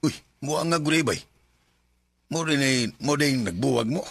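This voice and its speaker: A man's voice: mid-range voice, moderate pace, vocal fry, fairly bright tone, dry recording, faint music behind. A man with a Cebuano Filipino accent playing a blunt young buddy who eggs his friend on in a radio drama. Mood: prodding. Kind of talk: Bisaya radio drama acting.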